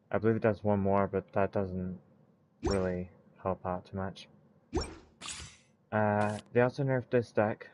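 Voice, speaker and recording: A man giving casual gameplay commentary, haltingly thinking aloud as he goes. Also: lowish voice, dull audio. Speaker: gaming video creator. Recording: clear background noise, mouse clicks. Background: noise and clicks.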